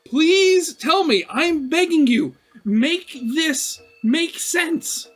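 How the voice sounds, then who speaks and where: A man's voice: extremely high pitch, animated, extremely slow, soft background music, pitched above the performer's own voice, heavily acted. A man voicing a frazzled young male student in a visual novel, desperate and exasperated. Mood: desperate pleading. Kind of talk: visual novel character reading.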